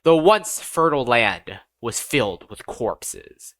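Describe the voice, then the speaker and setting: A man's voice: mid-range voice, big pitch swings, slow, a bit bright, no room sound, studio quality. A man reading a scripted first-person fantasy story as an audiobook narrator, with a dramatic, emphatic delivery.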